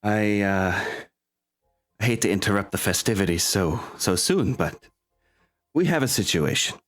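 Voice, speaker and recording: A man voicing a composed female military captain in a tabletop game, giving a formal, measured briefing. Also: fairly low pitch, expressive. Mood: grave, composed.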